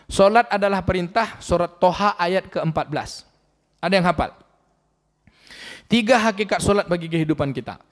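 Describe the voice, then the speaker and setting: A man's voice: high voice, moderate pace, long pauses. A man, preaching a sermon and questioning the congregation directly. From Riau, Indonesia. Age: 40s. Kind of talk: mosque sermon. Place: mosque hall. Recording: long mosque hall reverb.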